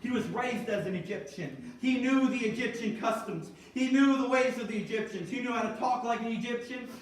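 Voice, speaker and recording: A man preaching in a church sanctuary, his voice unusually high for a man. It rings through a very echoey room and sits well back from the mic, with a congregation calling out responses. He shifts from measured reading to emphatic, building exhortation. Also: distant mic, slight background noise. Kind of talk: sermon.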